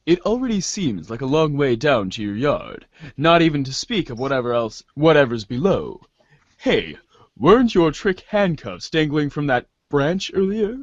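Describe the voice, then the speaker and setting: Casting: male amateur narrator, mock-grave and commanding, unhurried with big pitch swings, slightly muffled recording. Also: very animated, dry recording. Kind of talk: dramatic amateur reading of webcomic narration.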